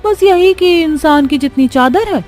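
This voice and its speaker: A woman voicing a haughty, high-pitched sneering neighbour woman. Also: lively intonation, a little slow, darkish tone, soft background music. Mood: taunting.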